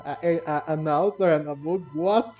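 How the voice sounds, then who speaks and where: A man giving casual game playthrough commentary in a halting, repetitive, giggly way, on a lo-fi mic. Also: on the high side, steady tone. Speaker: gaming video host. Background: faint game music.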